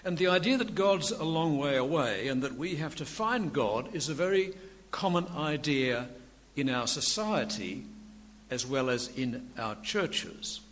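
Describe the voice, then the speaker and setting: A man's voice: fairly high pitch, lively intonation, a little slow. Older male preacher in a reverberant hall. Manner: quiet, dry humour. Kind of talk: sermon. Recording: long hall reverb, faint room noise.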